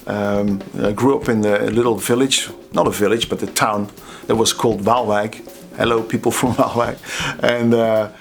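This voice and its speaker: A middle-aged Dutch drummer with a Dutch accent, telling anecdotes in a chatty, casual way to the camera. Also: male voice, lowish voice, steady tone, moderate pace, gravelly. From Netherlands. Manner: chatty, anecdotal, laughs at his own stories.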